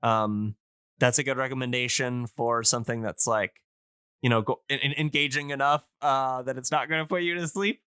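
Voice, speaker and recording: A man with a bright, clear voice, recorded close and dry. He talks in a casual podcast style, enthusiastic but halting, often searching for the right word. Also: mid-range voice, fairly even intonation, no room sound.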